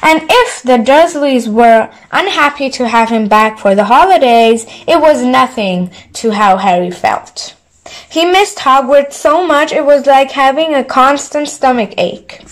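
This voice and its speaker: Very slow, high-voiced woman storyteller. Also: low-quality recording. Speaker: storyteller, channel host. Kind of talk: read-aloud bedtime story narration.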